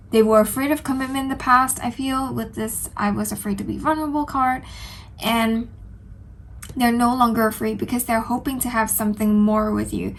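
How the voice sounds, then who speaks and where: A woman doing a tarot reading, sounding slightly nasal from a cold, speaking gently and earnestly with frequent ums. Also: on the high side. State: stuffy nose from a cold. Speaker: tarot reader. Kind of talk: solo tarot reading to camera.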